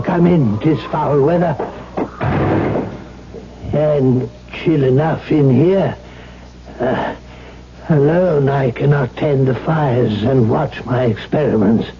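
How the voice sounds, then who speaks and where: Actor as a slow, bitter old alchemist. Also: male voice, medium pitch, close to an everyday voice. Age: older. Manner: archaic, halting, suspicious. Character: wary, bitter old reclusive alchemist, grieving father. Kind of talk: 1970s radio drama acting. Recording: dull, noisy 1970s radio recording.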